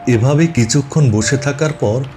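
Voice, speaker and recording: A man's voice: medium pitch, normal speed, warm tone, faint music behind. A man narrating a scripted ghost story for a cartoon as its storyteller.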